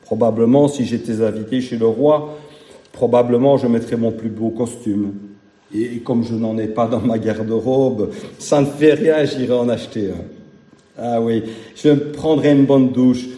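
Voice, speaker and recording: A man preaching to his congregation, his voice deeply dark and mellow. He mixes homely jokes with earnest repetition, and the sound carries an echo from the room. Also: fairly even intonation, a little slow. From Belgium. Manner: folksy, humorous, emphatic repetition. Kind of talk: sermon.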